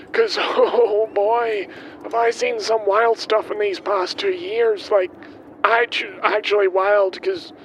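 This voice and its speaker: Very high male voice acted, kindly milkman, hesitant, rambling, guileless, voice-diary monologue, faint car noise. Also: natural voice, rough recording. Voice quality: hesitant, halting delivery. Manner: chatty, guileless, wandering off on tangents. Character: rambling, earnest, kindly milkman recording his amended will. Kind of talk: audio drama monologue, spoken as a voice diary. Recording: faint vehicle noise behind.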